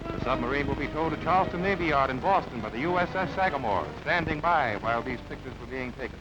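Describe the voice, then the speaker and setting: A man giving brisk, emphatic scripted newsreel narration on a dull, lo-fi archival recording over music. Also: medium pitch, lively intonation, heavy background noise. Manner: brisk, emphatic announcer delivery.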